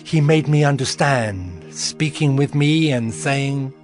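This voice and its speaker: Man as a slow, reverent prophet over music. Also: mid-range voice, close to an everyday voice. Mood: grave, awestruck. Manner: grave, reverent, deliberate. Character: Daniel, male Hebrew prophet, humble and awestruck, recounting visions. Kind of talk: dramatized scripture storytelling. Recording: clear music bed behind voice.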